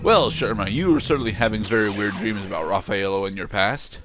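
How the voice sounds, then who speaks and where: A man's voice: fairly low pitch, fairly quick, music in the background. A man giving casual commentary on a video game he is playing. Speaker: let's play commentator.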